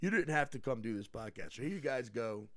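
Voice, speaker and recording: A man guesting on a podcast, telling stories in animated bursts, swearing freely and acting out what people said. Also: mid-range voice, a little fast, dry recording, clean studio sound. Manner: animated storyteller, swears freely, acts out quotes. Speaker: guest comedian. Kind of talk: casual podcast chat.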